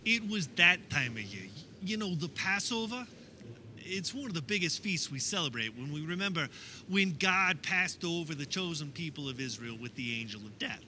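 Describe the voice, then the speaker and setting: A man's voice: on the high side, expressive, sharp tone, a little hiss or hum. A man playing an earnest fisherman disciple in a religious radio drama, recounting memories in a conversational, wistful monologue. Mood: solemn, reverent. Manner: conversational, wistful storytelling with wondering pauses.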